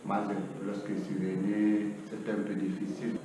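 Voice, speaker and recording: A middle-aged man whose native language is Portuguese, giving a halting personal account in a learned language as an interviewee. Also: lowish voice, monotone. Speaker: interviewee, undocumented migrant. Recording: distant, echoey hall with clear noise.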